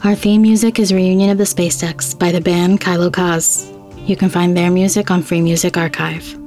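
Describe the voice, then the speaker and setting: A woman's voice: fairly low pitch, flat delivery, normal speed, darkish tone. A woman hosting a podcast, reading scripted credits and announcements. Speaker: host. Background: faint music.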